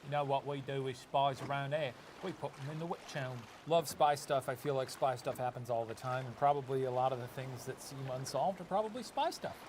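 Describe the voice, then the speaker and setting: A man co-hosting a podcast in playful, animated casual banter. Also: medium pitch, fairly quick, few pauses, a little hiss or hum. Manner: animated, mock-outraged, playful. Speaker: podcast co-host.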